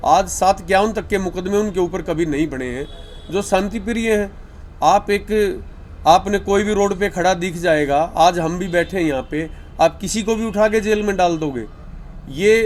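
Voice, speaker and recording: A man with a high voice and a Haryanvi accent speaks as a farmers' activist, making an appeal to the government. He talks deliberately in short emphatic phrases, with faint noise behind him at an on-location news recording. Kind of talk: spoken appeal to the government through media.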